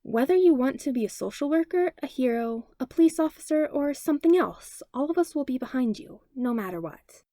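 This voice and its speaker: A woman voicing a protective adult mother who speaks gently and soothingly to a child. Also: sits high, expressive, a little fast, hardly any pauses, no echo, studio quality, pitched above the performer's own voice. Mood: firm, reassuring.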